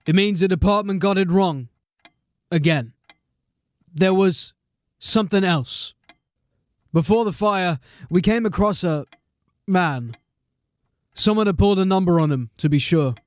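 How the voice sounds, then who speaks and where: A man's voice: high voice, measured pace, pauses often, dry recording. An actor playing a tired, dry-witted watch sergeant in an audio drama, commanding and wry in his delivery. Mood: weary. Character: weary, dry-witted watch sergeant, middle-aged man.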